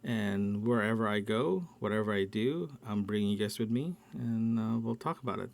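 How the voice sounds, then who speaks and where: A middle-aged man with a gravelly voice recording a casual solo audio log, haltingly thinking aloud and repeating words. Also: on the low side, animated, dry recording. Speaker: podcast host. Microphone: small handheld recorder.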